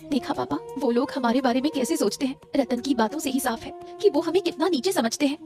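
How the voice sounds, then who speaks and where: A defiant young bride-to-be voiced by a woman speaks high and fast. She is hurt and indignant, pressing each protest in cartoon moral-story style over music. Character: distressed, defiant young bride-to-be pleading with her father. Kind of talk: Hindi cartoon story dialogue.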